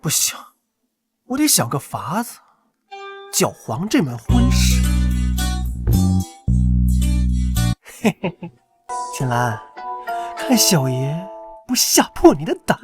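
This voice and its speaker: An actor playing a cocky, impulsive young lord in a period drama, with a hurt streak underneath. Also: male voice, fairly high pitch, wildly swooping pitch, measured pace, long pauses. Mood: scheming. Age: young adult. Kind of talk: Chinese period drama acting. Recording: prominent background music.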